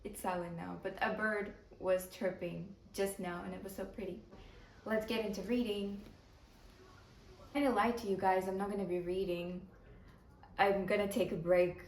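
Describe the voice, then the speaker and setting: A woman's voice: medium pitch, fairly even intonation, normal speed, a little hiss or hum. A young woman whose first language is Ukrainian, chatting casually about the books she is reading, thinking aloud with restarts. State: tired. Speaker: book vlogger. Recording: roomy, far from the mic, faint background ambience.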